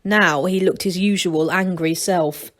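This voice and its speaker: A woman's voice: lowish voice, steady tone, a little slow, fairly bright tone. A woman storyteller reading a children's book aloud.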